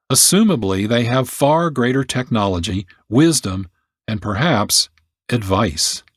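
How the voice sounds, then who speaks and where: A man hosting a documentary program with scripted narration, solemn and deliberate, pausing between phrases. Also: mid-range voice, no room sound, studio quality. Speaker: documentary host.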